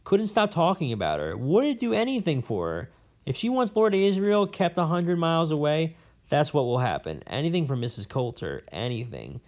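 A man's voice: medium pitch, darkish tone, close to an everyday voice. A man voicing a pompous, rambling old male professor in an audiobook, blustering and fussy. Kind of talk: audiobook character voice.

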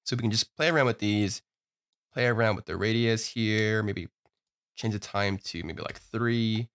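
Relaxed, low-voiced man explaining software. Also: moderate pace. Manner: relaxed, upbeat explaining with frequent "you know" and "uh". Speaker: software tutorial host. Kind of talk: screen-recorded software tutorial.

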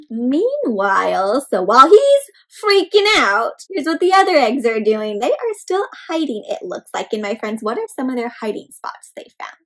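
A young woman reading a picture book aloud to children, animated and acting out the characters while asking the kids questions. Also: sits high. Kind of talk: interactive read-aloud for kids. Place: home room with decorated wall.

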